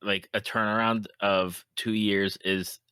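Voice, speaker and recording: A man's voice: on the low side, level tone, slow, pushed and bright, smooth, dry recording. A man hosting a podcast, chatting casually and reacting to his guest. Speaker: podcast host. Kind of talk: casual chat, listening and reacting.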